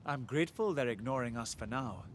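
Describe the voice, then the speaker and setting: A man playing an earnest, dutiful military commander in a video game, measured and formal, with concern in his delivery. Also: medium pitch, lively intonation, a little hiss or hum, lightly acted. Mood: worried. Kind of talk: video game cutscene acting.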